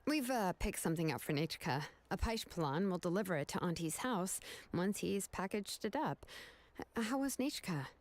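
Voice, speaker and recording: Woman as a hesitant village woman, lowish voice, dry close sound, halting. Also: lively intonation, no echo, close to an everyday voice. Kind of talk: video game voice acting.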